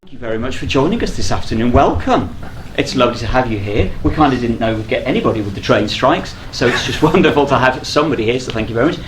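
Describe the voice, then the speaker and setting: Lively middle-aged man hosting in echoey room. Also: medium pitch, fast, few pauses. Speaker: live panel host. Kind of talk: casual, bantering stage interview. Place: on stage at a convention. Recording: echoey live hall, faint noise.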